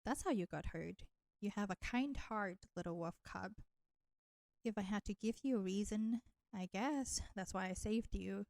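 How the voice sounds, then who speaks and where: Casting: woman as flirty female vampire for intimate roleplay audio, teasing and nurturing, creaky vocal fry, very dry sound. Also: mid-range voice, darkish tone, no room sound, close to an everyday voice. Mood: soothing, wry. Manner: slow, teasing, coaxing, addressing listener with pet names.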